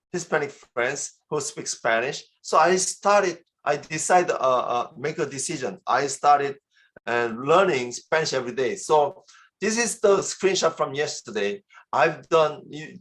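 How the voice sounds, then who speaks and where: A Korean man with a slightly raised voice addresses a business seminar in English, his second language. He speaks with a clear accent and earnest urging, and he often doubles back to repeat or restart a phrase. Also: a little high, fairly bright tone. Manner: earnest, repeats and restarts words, coaxing the audience. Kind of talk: motivational business talk.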